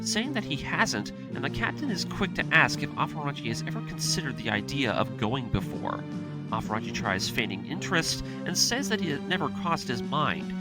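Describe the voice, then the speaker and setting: Man, scripted recap narration, lively varied intonation, mid-pitched voice, clear music behind. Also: low-quality recording. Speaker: video essay narrator.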